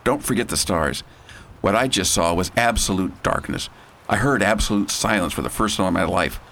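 Guy doing folksy space hero inventor, radio play, breezy talk, very animated, noisy audio. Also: low-pitched, normal speed, creaky, full character voice. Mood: shaken, awed. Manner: breezy, colloquial. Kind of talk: radio drama acting.

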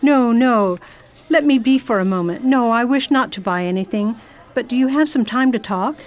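A woman's voice: on the high side, normal speed, lightly acted. A woman playing an imperious, curious English lady traveller in an audio drama, speaking in a formal, old-fashioned way. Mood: genteel, curious. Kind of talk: audio drama acting and diary narration.

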